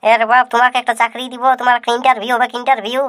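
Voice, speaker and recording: A man doing a brash, quick-talking Purulia village caller. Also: very high-pitched, level tone, a bit bright. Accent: Purulia Bengali. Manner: brash, quick rustic talk with swearing. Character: pushy village phone-company caller and friend.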